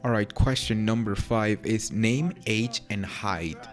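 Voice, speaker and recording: A young man from Mexico in his late teens with a Mexican accent softened by British touches, chatting casually and answering viewer questions with a relaxed, self-deprecating manner. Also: lowish voice, takes it slowly. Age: young adult. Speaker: YouTuber. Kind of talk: casual Q&A to camera. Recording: faint music underneath.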